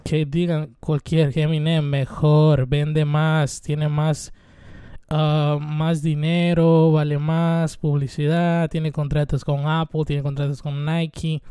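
A young Mexican man in his twenties rambles through a solo show, dropping swear words and fillers as he goes. His voice sits a bit high but sounds mellow and smooth. The recording is dry and close, with no room around him. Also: flat delivery, takes it slowly, dry recording. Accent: Mexican Spanish. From Mexico (Veracruz). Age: 20s. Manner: rambling, irreverent, swears freely, many fillers. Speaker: podcast host. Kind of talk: casual solo monologue.

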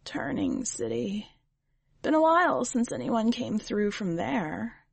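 A woman reading the part of a shrewd, calm witch who speaks plainly and gently. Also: medium pitch, slow, creaky, husky, dry recording, exaggerated voice.

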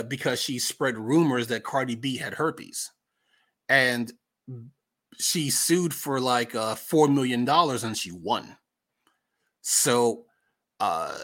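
Man, American accent, podcast host, mid-pitched voice, conversational, opinionated, emphatic repetitions, casual commentary. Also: fairly bright tone.

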